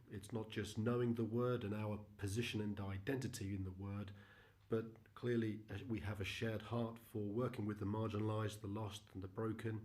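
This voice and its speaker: A middle-aged man from Britain speaks in a lowish voice, giving a recorded slide presentation to a church congregation. He sounds earnest and measured, and he often stops to fill gaps with ums and uhs. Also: a little mellow. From United Kingdom. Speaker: mission partner presenting to a church.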